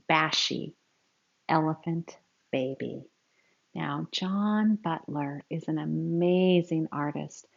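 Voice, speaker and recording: A woman with a slightly low voice reads a children's picture book aloud at an unhurried pace. She is expressive and teacherly, addressing her young listeners directly. The recording is a little dull and lo-fi. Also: creaky, slightly rough. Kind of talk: read-aloud storytelling with asides to young listeners.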